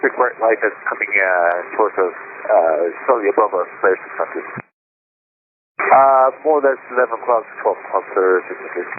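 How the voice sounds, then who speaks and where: Man, airline pilot, fairly low pitch, radio exchange, narrow phone-like sound, noisy transmission. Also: normal speed, pauses often. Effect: cockpit radio transmission. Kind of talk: radio exchange with air traffic control.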